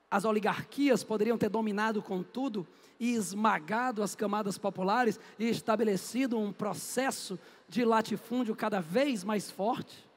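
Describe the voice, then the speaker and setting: Casting note: man, high voice, playful teacher posing and answering his own questions, reverberant classroom. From Northeast Brazil. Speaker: history teacher. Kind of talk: lively classroom lecture. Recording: echoey classroom with long reverb.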